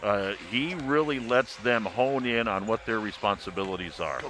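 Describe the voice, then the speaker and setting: Bright, forceful low-voiced male sports broadcaster. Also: moderate pace. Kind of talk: live sports interview and commentary. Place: large indoor arena, live event.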